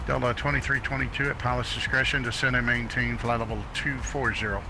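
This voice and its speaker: A man's voice: mid-range voice, level tone, fast, music in the background. A man working as a virtual air traffic controller, giving clipped, polite radio instructions. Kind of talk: radio phraseology.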